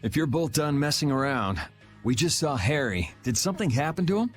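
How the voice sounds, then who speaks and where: A man's voice: on the high side, sing-song, brisk, soft background music. An actor playing a cool, wry young swordsman in a video game.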